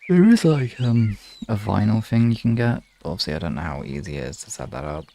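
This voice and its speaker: A man with a lowish voice full of heavy vocal fry chats informally on a livestream. He talks in halting, rambling phrases and swears casually. The home recording carries some faint noise. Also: expressive, dark tone. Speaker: streamer chatting with live viewers. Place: room in an apartment. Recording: clearly noisy home room recording.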